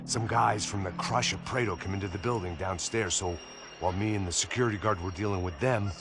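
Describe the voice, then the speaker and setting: An actor playing a weary, hard-drinking ex-cop with a dry, sardonic manner, in a game cutscene. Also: male voice, sits low, brisk, noisy background. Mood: apologetic. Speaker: narrator and lead character. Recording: game cutscene with loud music score.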